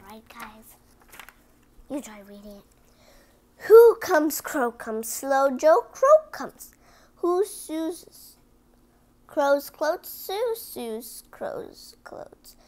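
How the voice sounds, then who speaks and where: Lady w/ really high voice doing a kids read aloud, super slow n careful, chats to the listeners. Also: darkish tone. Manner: slow, deliberate word-by-word reading with chatty asides to listeners. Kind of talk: children's picture book read-aloud.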